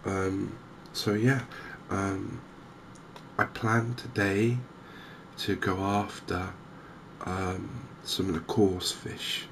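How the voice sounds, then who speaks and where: A man giving softly spoken, gentle ASMR game commentary in an unhurried, hesitant way. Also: sits low, little pitch movement, gravelly, slight background noise. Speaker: host of a cozy gaming channel.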